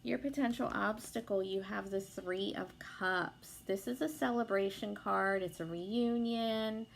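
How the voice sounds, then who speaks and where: A young woman with a hoarse voice from being sick, chatting casually as she gives a tarot reading, with lots of fillers and some swearing. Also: medium pitch, a little slow, a little hiss or hum. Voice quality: hoarse, gravelly. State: sick, losing her voice. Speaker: tarot reader.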